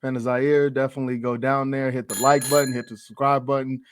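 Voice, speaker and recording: A man's voice: mid-range voice, level tone, quick pace, slight background noise. A man hosting a hip-hop interview show, chatting casually in a laid-back, slangy, agreeable way.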